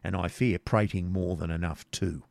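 A brusque woodland outlaw, played by a male narrator takes command in blunt archaic English. It is a storybook voice with some gravel, recorded dry. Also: deep voice, fairly even intonation, normal speed, a little mellow, no room sound. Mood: reproving.

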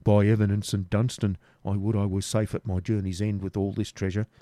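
Male narrator as a dogged, surly servant; dark low tone, dry sound. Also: flat delivery, brisk, no echo, lightly acted. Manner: dogged, grumbling.

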